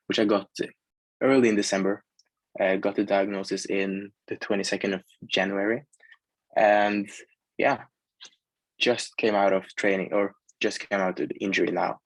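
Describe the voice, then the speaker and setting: Low, even-toned young Norwegian man. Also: normal speed, no room sound, poor audio. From Norway. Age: late teens. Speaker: athlete guest. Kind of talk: casual interview chat.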